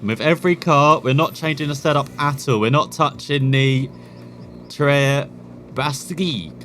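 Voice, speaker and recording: A man's voice: medium pitch, unhurried, a bit bright, slight background noise. A man from England with an English accent, hosting a gaming channel with chatty, self-deprecating commentary full of rambling asides. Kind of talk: casual gameplay commentary.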